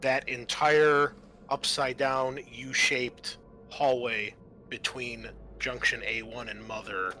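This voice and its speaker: A man's voice: mid-range voice, flat delivery, slow, bright, forceful tone, soft background music. A man acting as game master, narrating a tabletop role-playing game in an informal way.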